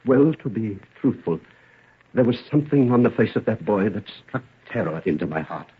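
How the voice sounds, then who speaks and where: A man's voice: lowish voice, lively intonation, moderate pace, slightly rough. A man playing a devoted, anxious father in an old-time radio drama, earnest and emotional. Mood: grave, relieved. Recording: dull, muffled old radio recording.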